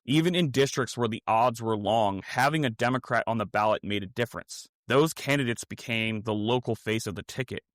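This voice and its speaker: An American man delivering scripted political commentary as a podcast host, earnest and measured, in short emphatic phrases. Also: medium pitch, fairly bright tone, no room sound, studio quality.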